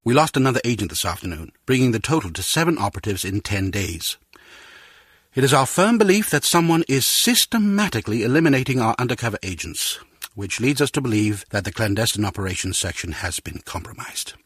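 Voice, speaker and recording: The head of a spy agency in a video game. His medium-pitched voice is husky, formal and authoritative. The delivery is measured and businesslike, recorded very dry. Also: animated, a bit bright, no echo. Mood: grave, businesslike.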